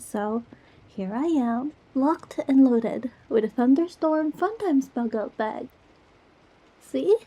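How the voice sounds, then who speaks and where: A woman playing a goofy, affectionate young girlfriend in an ASMR roleplay, talking tenderly and playfully to comfort a scared partner in a soft, breathy voice. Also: on the high side, full character voice. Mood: affectionate, cheerful, then tender, reassuring. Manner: playful, rambling, tender, reassuring. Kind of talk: ASMR girlfriend roleplay, intimate one-sided dialogue.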